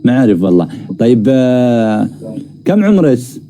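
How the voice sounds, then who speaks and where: A man's voice: mid-range voice, sing-song, normal speed, warm tone, a little hiss or hum. A man bantering casually as a live stream host, teasing and prodding with questions.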